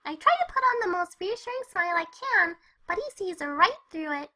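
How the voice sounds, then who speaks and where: A young cartoon party pony with an extremely high, sparkling bright voice. She is bubbly and perky, telling her storybook tale with animated, bouncy expression. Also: brisk, full character voice. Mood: animated storytelling. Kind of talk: first-person storybook narration with character dialogue.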